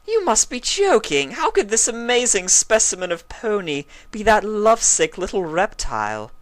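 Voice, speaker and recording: An elegant, haughty cartoon mare played by a woman reader, pitched high and swooping through every phrase. She speaks with refined, theatrical affectation, every inch the fashion diva. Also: big pitch swings, moderate pace, no echo, close to an everyday voice. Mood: airy disdain. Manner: affected, dramatic, refined diction.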